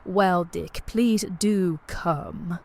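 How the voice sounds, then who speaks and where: A woman's voice: on the low side, drawn-out words, creaky, very noisy, pitched below the performer's own voice, full character voice. A woman voicing a posh British lady of the house, coy and seductive, lingering suggestively over her words in an audio play. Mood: coyly inviting.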